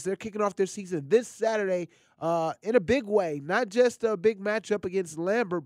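A man hosting a sports radio show in a studio, chatty and energetic, his voice scratchy and nasal from a cold. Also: sits high, no echo. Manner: energetic, chatty, lots of shout-outs. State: congested, scratchy voice. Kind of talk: casual sports radio talk.

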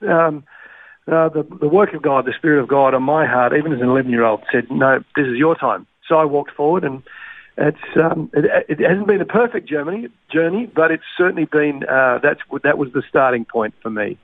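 An Australian man recalls his upbringing in a relaxed interview, circling back and restarting his sentences. His voice sits a little high and sounds thin and narrow, like a phone line. The sound is close and dry. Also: brisk, no room sound. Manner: rambling with restarts. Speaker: guest. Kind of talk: casual interview.

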